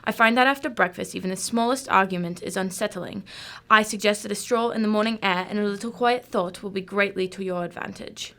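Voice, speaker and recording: Woman doing a cool clever lady detective in a radio play, medium pitch, very proper, kinda superior. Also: brisk, hardly any pauses, bright, forceful tone. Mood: cool, cutting. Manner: crisp, formal, composed, condescending. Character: cool, imperious female detective, shrewd and unflappable. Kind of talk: radio drama acting.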